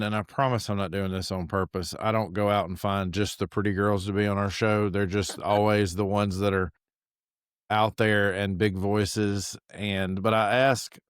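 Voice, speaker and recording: A man's voice: lowish voice, clean tone, studio quality. A man hosting a podcast, chatty and affirming, often echoing his guest's words. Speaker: podcast host. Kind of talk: casual interview talk.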